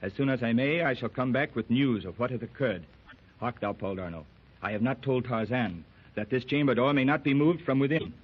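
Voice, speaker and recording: A man's voice: medium pitch, a little fast, slightly rough, close to an everyday voice. A man plays a grave lost-city noble who speaks in stately old-fashioned phrases. The sound is muffled, like an old radio transcription disc. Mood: solemn. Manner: formal, archaic speech. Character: solemn archaic-speaking male temple noble. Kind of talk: 1930s radio drama acting.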